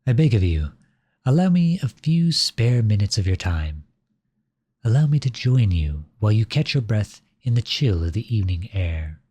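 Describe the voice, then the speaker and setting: A man playing a flirtatious, silver-tongued royal at a masquerade ball in an ASMR roleplay, speaking in courtly, formal, flattering phrases with a husky voice. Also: fairly low pitch, normal speed, dry recording. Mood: earnest, coaxing. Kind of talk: ASMR roleplay, scripted romantic monologue addressed to listener.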